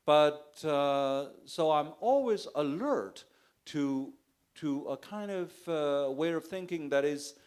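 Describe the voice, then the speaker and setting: A man with a Chinese accent, a poet answering on a bookstore panel, halting, with many restarts and fillers. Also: on the high side, animated, a little mellow, smooth. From China. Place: bookstore event space.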